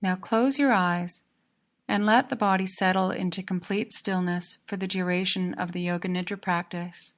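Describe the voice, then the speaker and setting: A woman narrating a guided yoga relaxation in a calm, measured, soothing manner. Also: lowish voice.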